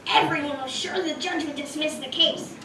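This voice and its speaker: A woman's voice: sits high, fairly even intonation, moderate pace, bright, forceful tone. A woman narrating a scripted children's tale at a puppet show, heard from a distance in an echoey, noisy room. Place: community puppet show in a roomy venue.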